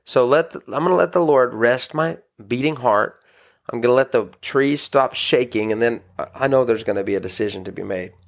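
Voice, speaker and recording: Pastor, male, mid-pitched gravelly voice, earnest and chatty, trips over his words. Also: fairly quick. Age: adult, married. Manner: earnest, conversational, frequent restarts and "you know". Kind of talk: devotional podcast teaching.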